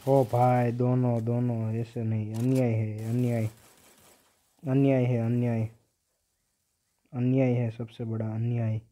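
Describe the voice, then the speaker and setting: A man's voice: medium pitch, level tone, slow pace, pauses often, mellow. A young man with an Indian accent giving casual mobile game commentary, often repeating short phrases. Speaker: gaming video host.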